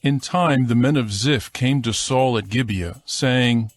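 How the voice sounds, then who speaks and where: A male narrator reads scripture in a formal, measured way. His pitch is mid-range, and music plays softly behind him. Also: no echo.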